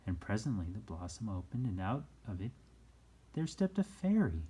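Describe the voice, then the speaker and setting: A storyteller reading aloud for children in a soft-edged, husky voice. The pitch moves in a lively way, dropping into hushed moments and rising for dramatic emphasis. The tone stays mellow. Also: normal speed. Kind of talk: expressive read-aloud storytelling.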